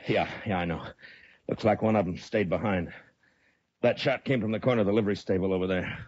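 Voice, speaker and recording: A man acting a stern, steady U.S. Marshal in a 1950s radio western, with a terse, commanding delivery. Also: deep voice, a little fast, slightly rough, no echo. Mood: terse, watchful. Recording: muffled vintage radio recording.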